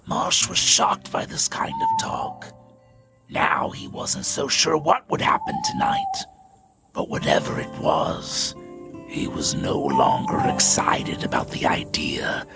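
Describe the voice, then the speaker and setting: Slow, gravelly male storyteller. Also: very high voice, wildly swooping pitch, over music. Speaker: narrator. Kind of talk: scripted storytelling narration.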